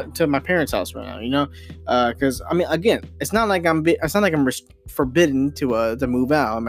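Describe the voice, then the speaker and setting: A young man hosting a casual solo podcast, rambling and excitable, full of restarts and fillers. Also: medium pitch. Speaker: podcast host.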